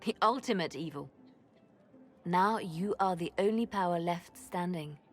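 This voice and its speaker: An actress playing a composed, courtly fantasy advisor, speaking in a measured, formal way. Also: female voice, lowish voice, soft background music, lightly acted. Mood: composed, solemn. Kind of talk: dramatic game dialogue.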